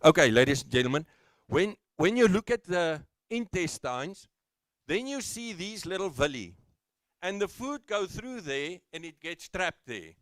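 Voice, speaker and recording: A man's voice: high-pitched, sing-song, a little slow. A man with a South African accent giving a live expo lesson on livestock health to farmers, explaining step by step and often restarting his phrases. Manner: says he has a loud voice. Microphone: handheld mic, later speaks without it.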